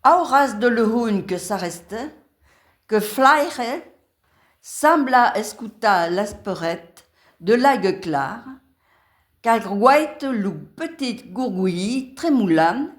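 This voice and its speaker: A woman's voice: on the high side, expressive, slow. A woman with a Gascon accent from the south of the Landes, reciting a poem. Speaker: language workshop pupil. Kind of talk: poetry recitation.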